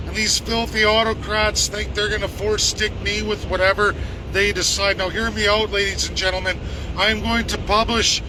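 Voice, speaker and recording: 40s man from Canada, heated insistent rant, high voice, noisy street behind. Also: flat delivery, moderate pace. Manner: angry, insistent, repeats himself. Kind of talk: ranting monologue to viewers.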